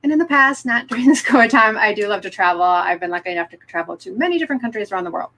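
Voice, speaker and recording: A woman's voice: mid-range voice, animated, very fast, a bit bright. A middle-aged woman presenting live online as a business coach, upbeat and encouraging, speaking directly to her viewers.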